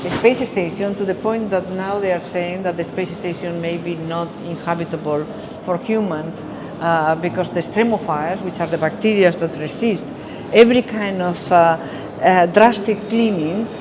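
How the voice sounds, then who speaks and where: A woman from Spain with a Spanish accent guides visitors through an exhibition as its curator, speaking in a halting way. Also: on the low side, background noise. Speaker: exhibition curator, architecture professor. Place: exhibition gallery, indoors.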